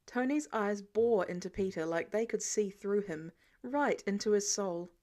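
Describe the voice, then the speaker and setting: A woman's voice: medium pitch, measured pace, darkish tone. A woman reading scripted fiction narration as an audiobook narrator. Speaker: podfic narrator.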